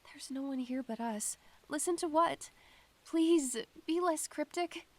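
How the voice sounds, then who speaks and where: A woman playing a melancholy, introspective young female student in an audio drama, speaking in a wistful, measured way. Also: sits high. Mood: pleading. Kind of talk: audio drama narration and acting.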